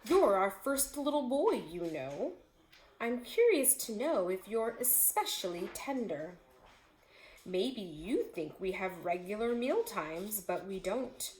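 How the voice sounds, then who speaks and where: Give this woman's take on a menacing storybook tiger a smug, mock-polite taunt. The delivery is slow and swoops up and down, hungry and sly. It sounds recorded in an echoey room. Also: medium pitch, big pitch swings, a little mellow. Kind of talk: children's storybook read-aloud. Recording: echoey room with faint noise.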